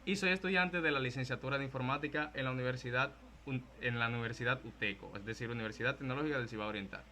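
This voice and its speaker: A man's voice: medium pitch, fast talker, bright, forceful tone. A man in his early twenties with a Dominican Spanish accent, presenting a casual programming talk with slides and the odd joke. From Dominican Republic. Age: 20s. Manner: casual, joking, with frequent fillers and restarts.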